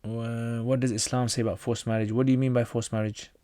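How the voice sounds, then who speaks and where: A man's voice: medium pitch, little pitch movement, quick pace, mellow, no room sound, studio quality. A man from North Pakistan, a native Pashto speaker, hosting a casual livestream Q&A. He reads out viewer questions and answers them plainly, often restarting his sentences.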